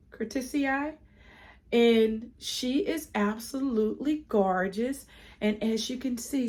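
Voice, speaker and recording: A woman's voice: fairly high pitch, darkish tone. A woman giving a casual plant collection tour, congested from a cold, chatty with frequent ums and restarts. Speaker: plant vlogger.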